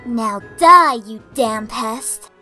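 A woman's voice: on the high side, extremely slow, over music. A woman playing a sinister young killer in a comic dub, mocking and condescending. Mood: menacing. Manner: taunting, condescending. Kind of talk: dramatic comic dub acting.

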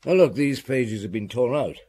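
Shrewd police inspector in a radio play, terse questions and little hmms, mid voice that moves around a lot. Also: male voice, mid-range voice, animated, normal speed, dark tone, slightly rough. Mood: puzzled, intent. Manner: terse questioning with thoughtful grunts. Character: shrewd, probing middle-aged male chief inspector. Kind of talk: radio drama acting.

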